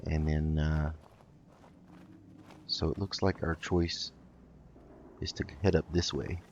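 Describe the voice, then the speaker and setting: A man's voice: a bass voice, fairly even intonation, slow pace, lo-fi sound, slight background noise. A man hosting a casual let's play, thinking aloud hesitantly as he goes. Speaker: gaming video host.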